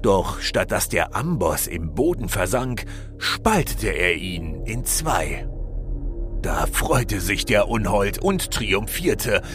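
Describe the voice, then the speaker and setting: Deep, slow, lively male storyteller. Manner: theatrical, measured storytelling. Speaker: narrator. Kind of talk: scripted fairy-tale narration. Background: music behind the voice.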